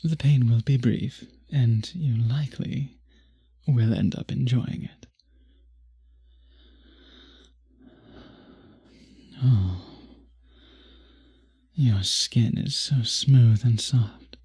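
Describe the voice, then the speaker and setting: A man playing a possessive, doting male vampire in an intimate ASMR roleplay, speaking formally in a husky, breathy murmur that often drops to a whisper. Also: medium pitch, slow, dark tone, heavily acted. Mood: reverent, seductive.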